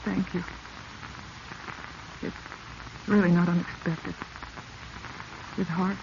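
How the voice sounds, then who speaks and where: A woman's voice: fairly low pitch, fairly even intonation, slow pace, a little mellow. An actress playing an earnest, proper young woman, a professor's daughter, in a 1940s radio drama. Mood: anxious grief. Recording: dull vintage radio recording, faint hiss.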